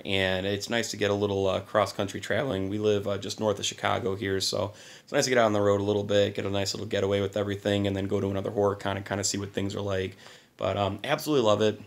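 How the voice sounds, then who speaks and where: Hoarse man for a laid-back collector vlog, low and gravelly, keen but quiet. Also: flat delivery, quick pace. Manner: enthusiastic, talking a little quiet. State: hoarse from singing and little sleep. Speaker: channel host.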